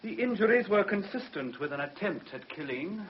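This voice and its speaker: Clinical doctor in witness box, precise, assured, fairly high male voice, dull radio recording. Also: sing-song, normal speed, slight background noise. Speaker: actor. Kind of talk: British radio drama acting. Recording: muffled vintage radio drama recording.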